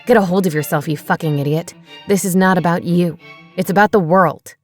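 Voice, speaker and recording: A woman's voice: low-pitched, normal speed, faint music behind, natural voice. A woman reading voicing a bitter, newly cloned man who lashes out in anger. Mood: angry. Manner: angry, forceful.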